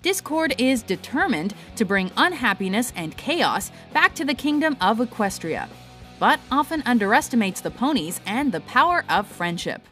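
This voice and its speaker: A woman's voice: fairly high pitch, big pitch swings, moderate pace, a bit bright. A woman reading scripted narration as the narrator of a countdown. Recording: music under the voice. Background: background music.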